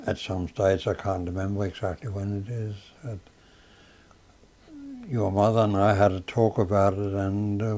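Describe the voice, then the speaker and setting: Slow, halting older Danish-accented man. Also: fairly low pitch, dark tone. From Denmark. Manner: slow, halting, with many fillers. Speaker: father being interviewed. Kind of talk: casual reminiscing interview.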